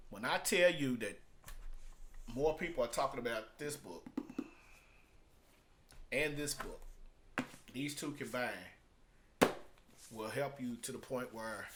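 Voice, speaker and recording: A man's voice: medium pitch, a bit bright, slight background noise. A man talking in a casual vlog review style, conversational and emphatic, repeating phrases to drive points home. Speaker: product reviewer and online marketer.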